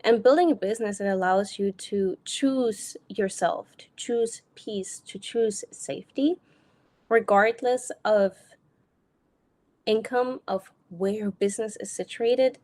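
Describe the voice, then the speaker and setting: A woman from Germany who speaks with an American accent, coaching in a solo live video. She talks energetically and directly in a casual manner, with a mid-range pitch. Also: a little mellow. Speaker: business coach.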